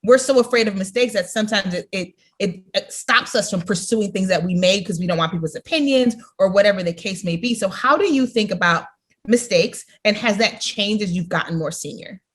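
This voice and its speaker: A woman's voice: medium pitch, fairly quick, breathy edge. A woman hosting a podcast interview, talking casually and informally and often checking in as she builds her questions.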